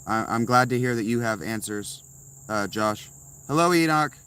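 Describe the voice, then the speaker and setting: A man's voice: mid-range voice, expressive, normal speed, a bit bright, clear, resonant tone. A man streaming live from inside a tent, answering chat messages with blunt, sarcastic replies. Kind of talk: casual livestream chat.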